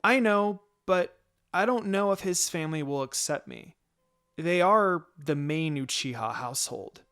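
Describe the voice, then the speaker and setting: A man's voice: a little high, animated, a little slow, fairly bright tone, clean tone. A man voicing a lovestruck, jealous teenage girl in a fan fiction story, with anxious delivery. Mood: lovestruck, worried. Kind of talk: fan fiction character dialogue.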